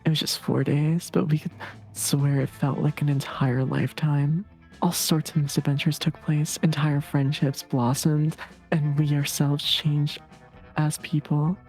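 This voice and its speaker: A woman's voice: sits low, little pitch movement, measured pace, a little mellow, soft background music, poor audio. A woman streamer chatting casually and reading game text aloud on a livestream.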